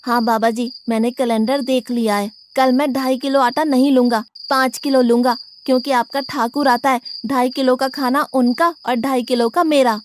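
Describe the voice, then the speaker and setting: A naive, food-loving young ashram lad from a cartoon, voiced by a woman. The voice is high, and he talks bluntly and artlessly with a cheeky, complaining edge. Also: fairly even intonation, normal speed, few pauses, a little hiss or hum, lightly acted. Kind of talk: animated moral story dialogue.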